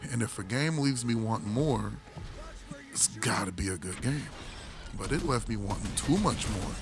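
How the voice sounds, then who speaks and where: A man with a hoarse voice, recovering from illness, giving a casual, rambling game review full of restarts and laid-back slang. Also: lowish voice, lively intonation, normal speed, over music.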